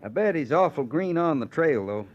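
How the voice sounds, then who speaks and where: An actor playing an easygoing, folksy cowboy trail hand in an old-time radio Western. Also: male voice, on the high side, animated, normal speed, highs cut off, lightly acted. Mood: casual.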